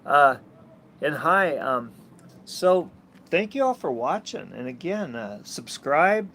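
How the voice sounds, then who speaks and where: A man from Minnesota with an Upper Midwest American accent, talking casually and haltingly from his sailboat, full of restarts and blunt asides. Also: a little high, sing-song, gravelly, fairly bright tone, slight background noise. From Minnesota, USA. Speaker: sailing vlogger. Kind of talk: rambling casual vlog chat. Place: outdoors on a sailboat.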